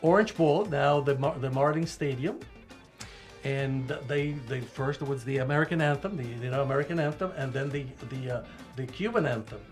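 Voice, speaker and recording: An older man born in Cuba, co-hosting a casual radio chat, animated and full of restarts. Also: medium pitch, moderate pace, faint music behind. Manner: animated, restarts often, rising when stirred. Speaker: co-host.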